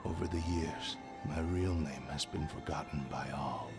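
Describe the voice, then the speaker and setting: Game role: ancient sword spirit, low-pitched, solemn, remorseful, stately wording, music behind. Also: male voice, fairly even intonation, normal speed. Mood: solemn, fading. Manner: formal, grave, archaic phrasing. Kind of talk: dramatic game voice acting.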